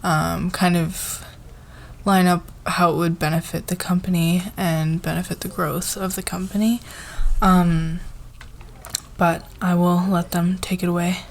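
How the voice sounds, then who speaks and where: Casting: actress as supportive woman boss for ASMR roleplay, lowish voice, very slow pace, soft halting meeting talk. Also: little pitch movement, gravelly, slight background noise. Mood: encouraging, slightly awkward. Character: friendly, encouraging female boss leading a team meeting.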